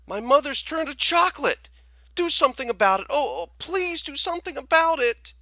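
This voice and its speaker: Man voicing a frantic, high-pitched stammering young boy. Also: animated, moderate pace, bright, forceful tone, no echo, exaggerated voice. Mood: desperate pleading. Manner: stammering, babbling, repeating words. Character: frantic, remorseful young boy pleading for help. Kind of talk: storybook character voice.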